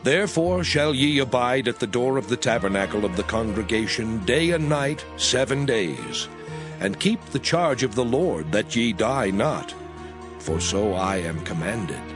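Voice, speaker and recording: A man's voice: medium pitch, lively intonation, unhurried, over music, lightly acted. A man playing a solemn, commanding prophet who declaims instructions to priests in a dramatized scripture reading.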